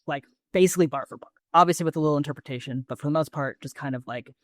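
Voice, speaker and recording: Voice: medium pitch, racing, no room sound. A podcast co-host chatting casually and enthusiastically, with plenty of slang and swearing.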